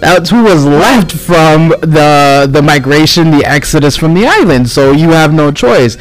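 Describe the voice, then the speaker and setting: A man of Cuban heritage in casual conversation, talking animatedly with quick asides and sudden jumps up in pitch when quoting others. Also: rough recording. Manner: animated, fast asides, voice jumps up for quoted remarks. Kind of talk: casual chat.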